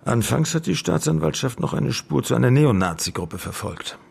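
A man playing a sober, world-weary lawyer in a naturalistic radio drama, gravelly and husky, telling things in measured, clipped sentences. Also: medium pitch, animated, full character voice. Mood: sober, bitter. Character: male lawyer recalling an old case, sober, world-weary.

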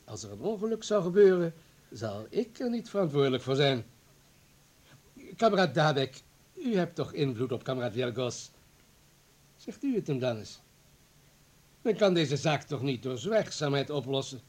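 An actor playing an anxious, insistent party official in a radio play, arguing formally and emphatically. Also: male voice, medium pitch, sing-song, normal speed, pauses often, a little mellow. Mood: agitated, exasperated. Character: anxious, insistent party comrade, hard and self-justifying official.

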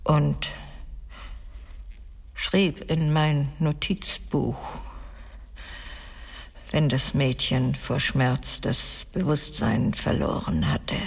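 An actress playing a cold, haunted murderess in a radio play, speaking haltingly and deliberately in a breathy, husky, creaky voice that often sinks near a whisper. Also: female voice, fairly low pitch, a little mellow, full character voice. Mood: haunted, detached. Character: cold, haunted murderess confessing her killing, named Ramona. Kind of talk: dramatic radio play monologue. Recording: long hall-like reverb.

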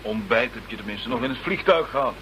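Calm chief inspector, medium pitch, matter-of-fact, radio play, slight background noise. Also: male voice, fast, rough recording. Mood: easygoing. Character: unruffled British chief police inspector. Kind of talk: radio drama acting.

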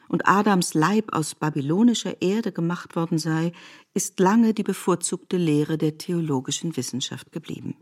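A woman narrating scripted literary prose for radio in a measured, formal, essay-like manner. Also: on the low side, a little mellow.

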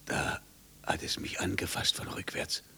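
An actor playing a gaunt, greying, haunted religious mystic in a radio drama, speaking in a hushed, fervent way. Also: male voice, a little slow, whispered. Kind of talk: 1950s German radio drama acting.

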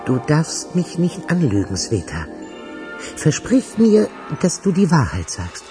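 Animated, urgent small man. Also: a little high, unhurried. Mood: pleading. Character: earnest, urgent male dwarf, protective of young woman. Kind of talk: radio crime drama acting. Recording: clear music behind the dialogue.

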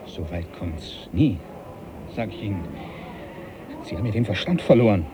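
An actor playing a devout, stubborn sea captain who dismisses a troubling idea with rising agitation. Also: male voice, lowish voice, lively intonation, normal speed, mellow, slight background noise, close to an everyday voice. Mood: defiant.

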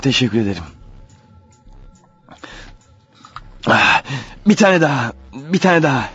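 An actor playing a panicky, guilt-ridden clerk turned killer in a radio play, stammering and talking nervously to himself. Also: male voice, mid-range voice, big pitch swings, brisk, a bit bright, husky, faint music behind, full character voice. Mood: uneasy, furtive. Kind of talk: radio theatre acting.

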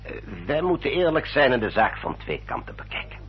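A man playing an older, formal Victorian gentleman adviser in a Dutch radio drama, rough-voiced, deliberate and emphatic. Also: mid-range voice, very animated. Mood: earnest, persuasive. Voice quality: husky, rough. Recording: dull, muffled old radio recording.

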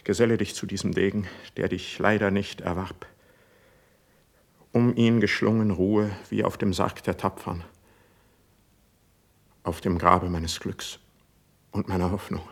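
Proud hurt young noble, low and creaky, solemn verse, radio play. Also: male voice, fairly even intonation, heavily acted. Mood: bitter, grieving resignation. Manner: solemn, declamatory, drawn-out emphatic phrasing. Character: proud, deeply wounded young nobleman resigned to arrest. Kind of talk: classical verse stage acting for radio.

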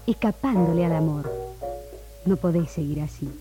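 An actress playing a concerned, reproachful confidante in a 1960s Argentine radio drama. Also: female voice, deep voice, normal speed, lightly acted. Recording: 1960s radio archive, music behind.

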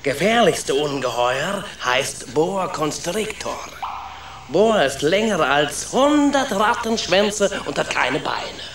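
An actor voicing a gruff, proud foreign rat with a Spanish accent and broken grammar, telling tales dramatically in a children's radio play. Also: male voice, on the high side, big pitch swings, slow pace, fairly bright tone, exaggerated voice. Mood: ominous storytelling. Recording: echoey room with noticeable background noise.

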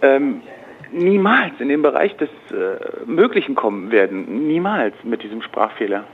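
A man's voice: medium pitch, sing-song, normal speed. A man taking a business call, speaking in a wordy, hedging, politely dismissive way. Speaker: dubbing studio employee taking a call. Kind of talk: phone conversation. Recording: tinny narrow-band phone line.

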